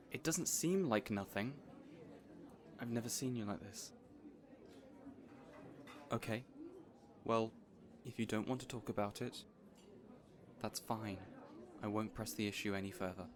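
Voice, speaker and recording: A man playing a boyish, caring supervillain boss in an audio roleplay, earnest and rambling, hesitant yet excitable. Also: on the low side. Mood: concerned, gentle.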